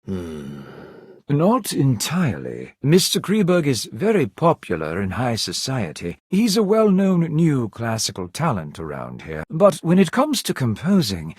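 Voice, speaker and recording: A man playing a polite, composed servant of a grand manor in a video game, speaking with formal, measured courtesy. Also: medium pitch, wildly swooping pitch, slightly rough, dry recording, clean studio sound, full character voice. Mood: formal, courteous. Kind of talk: scripted video game dialogue.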